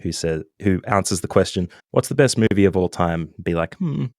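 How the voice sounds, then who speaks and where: A man from Australia with a mild Australian accent, chatting casually as a podcast guest, relaxed, with lots of ums and self-corrections. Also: low voice, normal speed, no room sound, clean studio sound. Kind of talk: casual interview chat.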